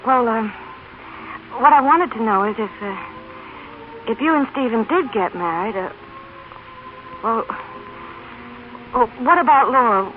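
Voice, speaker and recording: Awkward plain-talking mom, stammers a lot, self-sacrificing, old radio drama, really tinny sound. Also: female voice, a little high, animated, a little hiss or hum. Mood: earnest, pleading. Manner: halting, stammering, ungrammatical. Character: plain-spoken, awkward, self-sacrificing working-class mother, pleading earnestly. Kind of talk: vintage radio drama acting. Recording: tinny band-limited old radio recording.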